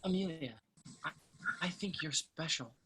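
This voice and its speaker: A man acts out a charming college soccer player in a stage drama reading. His voice sits on the higher side and he speaks with heartfelt sincerity. The recording is clearly noisy, like a video call. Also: a little slow, bright, forceful tone. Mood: tender, frustrated. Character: charming, earnest male college soccer player courting a teammate. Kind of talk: virtual staged play reading. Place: remote virtual reading over video call.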